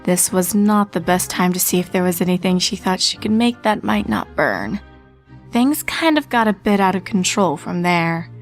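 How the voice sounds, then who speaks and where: A woman reading a fan story aloud as narrator, with steady storytelling narration. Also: fairly low pitch, normal speed, faint music behind. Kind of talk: fanfic audiobook reading.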